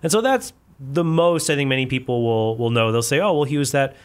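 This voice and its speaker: A man's voice: medium pitch, animated, fairly quick, clean tone. A man hosting a podcast in casual conversation, asking curious questions and introducing the topic in a chatty way. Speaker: podcast host.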